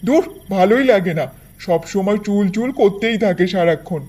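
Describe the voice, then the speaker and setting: Man acting a high-voiced henpecked village husband. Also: moderate pace, a little mellow. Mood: weary, annoyed. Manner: weary grumbling. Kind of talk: Bengali cartoon folktale acting.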